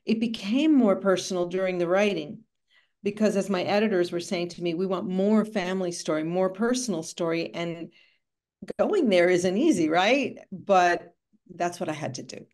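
A woman's voice: fairly low pitch, normal speed, a little mellow. Cuban-born woman author on a video call. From Cuba. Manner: thoughtful, storytelling, frequent asides. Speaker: author and art conservator. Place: home room with bookshelves.

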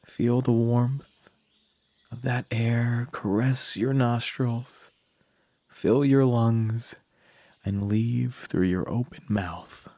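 A man narrating a scripted guided meditation in a calm, soothing manner with long pauses. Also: mid-range voice, no room sound.

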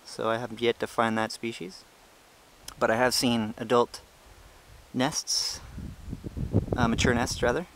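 A young man casually narrating a hiking vlog outdoors on a trail, explaining things in a relaxed, chatty way with many fillers, recorded on the handheld camera he films with. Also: medium pitch, measured pace, pauses often, background noise. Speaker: ant-keeping channel host. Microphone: handheld camera he is filming with.

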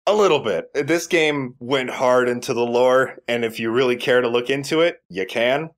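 A man's voice: medium pitch, normal speed. A man giving casual, explanatory game commentary with rambling asides. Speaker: let's play commentator.

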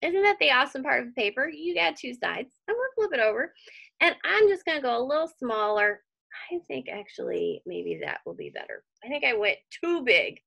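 A woman's voice: sits high, huge pitch swings, fairly quick, gravelly, pushed and bright. A woman giving a casual step-by-step craft tutorial for children, chatting and thinking aloud in a reassuring way. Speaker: craft teacher. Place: indoor room at home.

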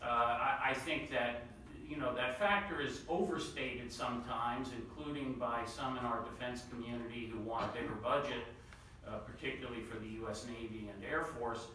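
A bright-voiced man speaks quickly at a conference table, piling up "uh"s and restarts. He is picked up from a distance in a large, very reverberant room with a faint hiss. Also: medium pitch, steady tone. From New York City area. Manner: quick-talking, with many fillers and repeated words. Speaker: panelist. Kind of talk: policy panel remarks. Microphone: table mic, recorded from the far side of the room. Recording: echoey room audio from far off.

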